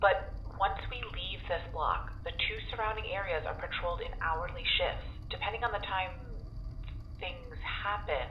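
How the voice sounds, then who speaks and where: A woman's voice: lowish voice, moderate pace, lightly acted. An actress playing a blunt, practical woman arguing over routes. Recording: muffled echoey room with faint hiss.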